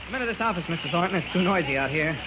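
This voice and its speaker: An actor playing a modest, polite newspaper reporter with a light, courteous manner in a 1940s radio drama, on an old noisy recording. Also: male voice, sits high, expressive, rapid-fire, dull audio. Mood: modest, friendly.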